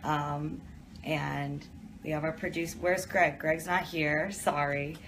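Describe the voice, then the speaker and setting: A woman's voice: sits low, steady tone, slow pace, a bit bright, a little hiss or hum. A young woman from Detroit, chatting casually as she reads out viewer questions and answers them in an office during a live stream. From Detroit, USA.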